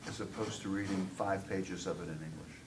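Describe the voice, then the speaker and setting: A man in the audience of a seminar, commenting in a discursive, deliberate way with many asides. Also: deep voice, fairly even intonation. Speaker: audience member, college tutor. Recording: distant mic, noisy room.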